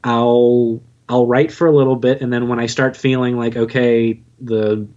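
A man's voice: medium pitch, flat delivery, normal speed, a little mellow. Dull-sounding lo-fi recording of a joking man. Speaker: podcast guest, audio drama writer and narrator. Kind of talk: casual interview chat.

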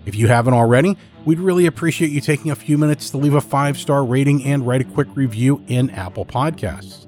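A man's voice: mid-range voice, brisk, a little mellow. A man hosts a horror fiction podcast with scripted narration. His voice sits in a middle register, with light music playing behind it. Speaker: podcast host. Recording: music bed under the voice.

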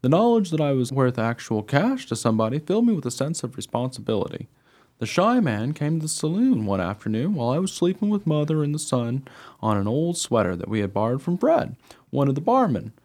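A man's voice: medium pitch, a little fast. A man playing a friendly, chatty young-hearted dog who tells his own life story earnestly in a radio play. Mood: wry, reflective. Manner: earnest, excitable, naive storytelling. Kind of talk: scripted radio play narration.

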